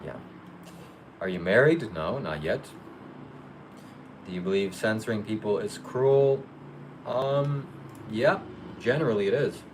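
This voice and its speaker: A man hosting a casual live Q&A, reading viewer questions aloud and answering them briefly in a matter-of-fact, low-key way. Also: a little slow, long pauses, slight background noise. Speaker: livestream host.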